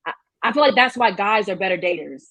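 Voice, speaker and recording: A woman's voice: medium pitch, expressive, fast talker, a bit bright, dry recording. Blunt young woman. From Georgia, USA. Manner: blunt, emphatic, repeats key phrases. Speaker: podcast guest. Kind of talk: casual podcast chat.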